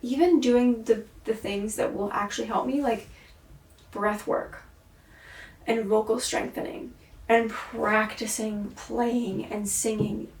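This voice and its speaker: A woman's voice: on the high side, fairly even intonation, takes it slowly. Tired woman, echoey and distant. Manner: introspective and emphatic, swears often, sighs. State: tired, sore-throated voice. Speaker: vlogger and singer. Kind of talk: rambling video diary monologue. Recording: echoey room, far from mic.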